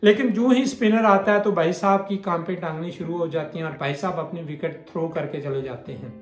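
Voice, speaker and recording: A young man gives a blunt, mocking cricket analysis straight to camera in a small bare room. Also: high voice. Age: young adult. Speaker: cricket commentator vlogger. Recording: echoey room, faint music behind.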